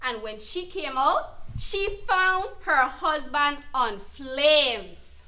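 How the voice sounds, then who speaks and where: A woman's voice: sits high, animated, very slow, bright, forceful tone, heavily acted. A woman playing an earnest, emphatic advocate who counsels an abused mother in an educational dramatization. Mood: grave, emphatic. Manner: emphatic, instructive. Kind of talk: educational dramatization, deliberate explanatory delivery.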